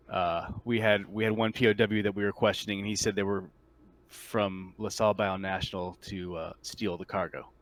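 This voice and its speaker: A man playing a shaken marine soldier in a tabletop roleplay, giving a halting, stammering account of a disastrous mission. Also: lowish voice, a bit bright, no room sound, lightly acted. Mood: reporting, halting. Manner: halting, stammering, incredulous testimony. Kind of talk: improvised tabletop roleplay monologue.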